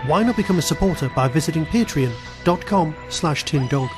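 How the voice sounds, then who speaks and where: A man's voice: fairly high pitch, animated, brisk, music in the background. A man with a Geordie accent hosting a podcast interview, talking eagerly and tripping over the first words of his sentences. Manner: enthusiastic, repeats words when starting. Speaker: podcast host. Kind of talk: casual interview chat.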